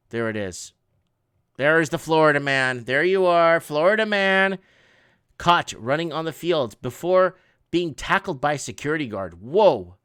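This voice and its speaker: A middle-aged man whose first language is Portuguese, hosting an online news show and reading headlines aloud in a halting way, with frequent restarts and casual asides. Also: fairly high pitch, fairly bright tone, smooth, no room sound, clean studio sound.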